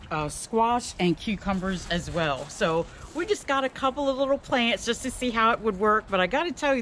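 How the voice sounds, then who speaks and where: Older American female, medium pitch, playful casual vlogger, light outdoor noise. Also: a bit bright. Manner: playful, self-deprecating. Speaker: vlogger showing her home garden. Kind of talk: casual chat to camera. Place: outdoors in a fenced backyard. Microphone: handheld camera at arm's length. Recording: clearly noisy outdoor ambience.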